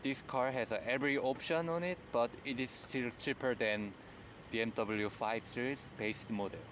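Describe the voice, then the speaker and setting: A man with a Korean accent, whose first language is Korean, gives a car review walkthrough in plain, halting phrases. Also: medium pitch, a bit bright, slight background noise. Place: in and around a car.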